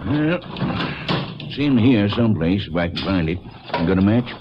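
An actor playing a stubborn older small-town Texas constable with plain, folksy country talk in an old radio crime drama. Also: male voice, fairly low pitch, expressive, normal speed, close to an everyday voice. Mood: easygoing. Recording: muffled, noisy old radio recording.

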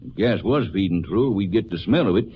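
An actor playing a stubborn older small-town Texas constable with plain, folksy country talk in an old radio crime drama. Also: male voice, lowish voice, animated, fairly quick, natural voice. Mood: easygoing. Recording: muffled, noisy old radio recording.

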